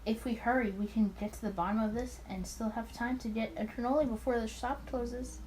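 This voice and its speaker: A woman's voice: fairly high pitch, steady tone, brisk, a little mellow, slight background noise, natural voice, rough recording. A woman voicing a cheerful, affectionate male detective partner in a visual novel game, with a playful, teasing manner.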